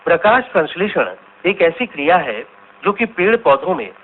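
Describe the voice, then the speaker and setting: Science reporter in a radio play: fairly high voice, careful explanatory delivery, tinny band. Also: male voice, very animated, normal speed, slight background noise. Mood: instructive. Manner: formal, didactic.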